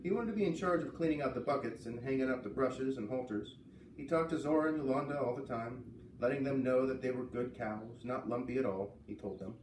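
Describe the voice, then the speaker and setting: A man reading a children's book aloud expressively, with dramatic pauses and emphasis. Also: medium pitch, darkish tone. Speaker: storybook reader. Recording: roomy, slightly distant, faint background noise.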